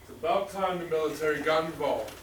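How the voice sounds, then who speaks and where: A man's voice: fairly high pitch, brisk, crowd noise, close to an everyday voice. A man playing a brusque, stern boss giving curt orders to a subordinate in a radio drama. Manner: brusque, dismissive. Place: theatre stage, live performance. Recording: echoey distant stage recording, faint noise.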